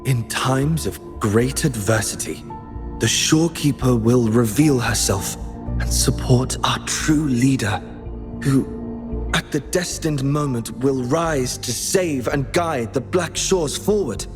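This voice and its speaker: A man's voice: medium pitch, slow, close to an everyday voice. A man playing a solemn video game character, speaking in a portentous manner. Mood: solemn, awed. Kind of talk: scripted game voice acting. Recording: long hall reverb, music behind.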